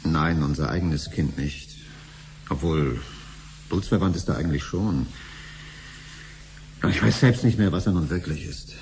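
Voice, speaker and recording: An actor playing a blunt, sarcastic middle-aged husband under questioning in a radio drama. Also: male voice, very deep voice, normal speed, long pauses, a little mellow. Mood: resigned. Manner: blunt, grumbling, defiant. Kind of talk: 1970s German radio drama acting. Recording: slightly noisy old radio drama recording.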